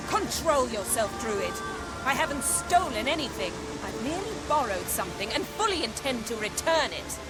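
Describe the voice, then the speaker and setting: An actress playing a proud, unrepentant sorceress in a game, speaking haughtily and precisely. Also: female voice, a little high, sing-song, moderate pace, rough recording. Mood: cool, defensive. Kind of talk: video game cutscene acting. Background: loud music.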